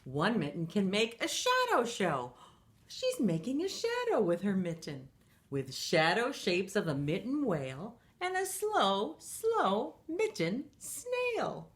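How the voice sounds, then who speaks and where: Slow, sing-song storytime woman. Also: mid-range voice, wildly swooping pitch. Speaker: children's storytime reader. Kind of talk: reading a picture book aloud to children.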